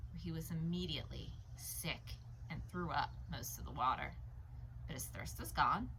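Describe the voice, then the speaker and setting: A woman narrating a novel as a read-aloud, in an expressive audiobook style. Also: mid-range voice, rough recording. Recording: noticeable background noise.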